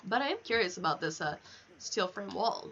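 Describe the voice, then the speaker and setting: Young female game streamer, lively pitch, candid rambling chat with casual swearing, slightly dull lo-fi mic. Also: moderate pace. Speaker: livestreamer.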